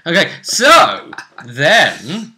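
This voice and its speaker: A man's voice: a little high, huge pitch swings, very slow, pushed and bright. A man chatting casually as a game master, recapping a tabletop role-playing session and often repeating phrases as he goes.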